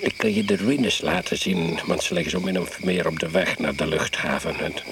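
An actor in a radio play playing an overbearing, eccentric older husband who is pushily hospitable and faintly menacing. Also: male voice, low voice, steady tone, normal speed, creaky. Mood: pushy, unyielding. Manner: domineering, capricious, interrupting. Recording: noisy radio play recording.

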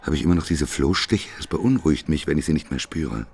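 An actor playing a weary, cantankerous, self-doubting prophet in a radio play, gravelly and brooding. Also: male voice, extremely low pitch, expressive, a little mellow, heavily acted. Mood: despairing. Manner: brooding, slow, self-questioning.

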